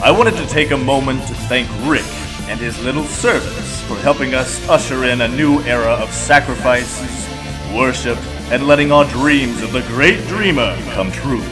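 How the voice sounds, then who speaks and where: A man's voice: medium pitch, animated, normal speed, close to an everyday voice. A man playing a solemn, grandiose cult creature addressing followers in a cartoon audio drama, ceremonial and oratorical. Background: music.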